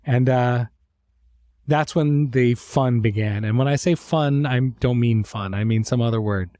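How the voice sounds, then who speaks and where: A man speaks as a podcast host in an informal, conversational way, slipping in dry asides. His pitch is mid-range, and the recording is close and dry with very little room sound. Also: measured pace, mellow, no room sound. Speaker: podcast host and series creator. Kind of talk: casual podcast introduction and conversation.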